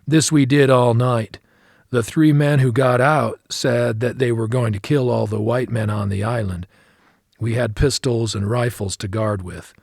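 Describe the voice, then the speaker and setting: A man reading a memoir as scripted audiobook narration, measured and clearly enunciated, recorded close in a studio. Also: mid-range voice, a little mellow, no room sound.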